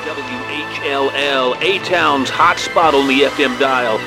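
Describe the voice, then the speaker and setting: An actor playing a slick, cheeky 1980s FM station announcer in an audio drama. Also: male voice, medium pitch, slow pace, over loud music. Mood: slick, playful. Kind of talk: 1980s radio jock announcing.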